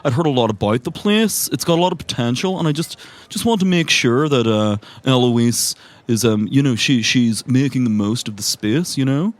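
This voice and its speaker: A man's voice: medium pitch, animated, normal speed, close to an everyday voice. A man playing a nervous, evasive, over-polite estate agent in improvised roleplay, hesitant and stammering with fussy politeness. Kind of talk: improvised tabletop roleplay acting.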